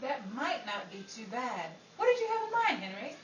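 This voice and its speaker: A woman's voice: high-pitched, sing-song, moderate pace, muffled recording. Sarcastic showbiz dame in an echoey room, acted by a woman. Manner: sarcastic, dry. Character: sharp-tongued, exasperated 1950s showbiz woman. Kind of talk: 1950s old-time radio comedy acting. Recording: echoey, distant, noisy live audience recording.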